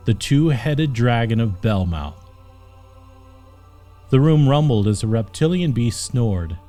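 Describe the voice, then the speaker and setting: A man's voice: medium pitch, darkish tone. A man reading a fantasy story as an audiobook narrator, with dramatic scripted storytelling. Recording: music under the voice. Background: background music.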